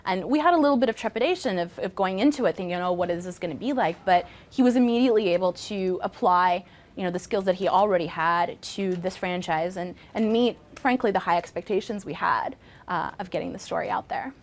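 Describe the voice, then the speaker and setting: A woman's voice: on the low side, a little fast. A woman on a project team talking casually in an interview.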